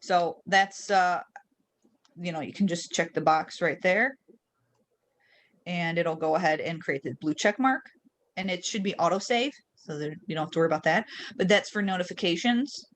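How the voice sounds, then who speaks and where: A woman's voice: on the low side, moderate pace, a little hiss or hum. A woman training people in an informal, conversational screen-share webinar, explaining each step and posing check-in questions as she goes. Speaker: trainer leading a software webinar. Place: online webinar.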